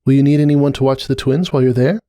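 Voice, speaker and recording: Man as a high-voiced, earnest young mare. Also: fairly even intonation, quick pace, warm tone, no echo, natural voice. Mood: helpful, concerned. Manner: polite, considerate. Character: earnest, helpful young adult female unicorn pony. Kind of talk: dramatic storybook reading.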